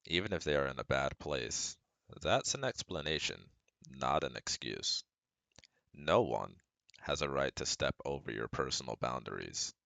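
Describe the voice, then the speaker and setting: A man's voice: a bass voice, expressive, a little slow, gravelly, bright, forceful tone, no room sound, heavily acted. A man playing a caring, protective best friend who gives firm, earnest advice straight to the listener in an ASMR roleplay. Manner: soothing, earnest, instructive, speaking directly to listener.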